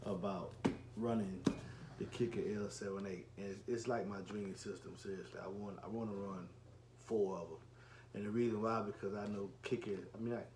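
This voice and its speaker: A man's voice: on the low side, darkish tone. A man in his forties talking casually in a rambling, self-correcting way, as a reviewer filming an unboxing video. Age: 40s. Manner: rambling, often repeats and corrects himself. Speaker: car audio reviewer and enclosure builder. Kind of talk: casual unboxing vlog talk. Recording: echoey room with faint background noise.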